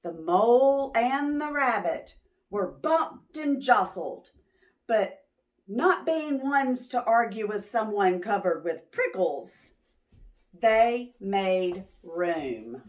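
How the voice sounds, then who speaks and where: A woman reading a picture book aloud to young children, expressively, pausing to ask them questions. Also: mid-range voice. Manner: expressive, drawn-out words, teacherly prompting. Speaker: children's read-aloud storyteller.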